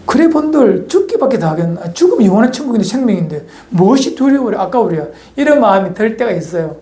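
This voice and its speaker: A man's voice: sits high, big pitch swings, fast talker, mellow. A male pastor with a Gyeongsang Korean accent preaching a sermon in a church, folksy and asking the congregation questions. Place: church sanctuary. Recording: echoey church hall.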